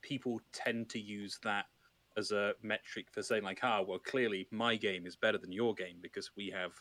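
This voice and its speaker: Man, podcast host, lowish voice, thoughtful, dry sound. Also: fairly even intonation, normal speed, a bit bright, no room sound. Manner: thoughtful, reflective. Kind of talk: casual podcast conversation.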